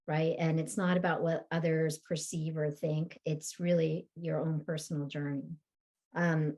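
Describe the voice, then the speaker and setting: Woman, moderator, lowish voice, affirming, brief. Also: dark tone. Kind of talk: panel discussion.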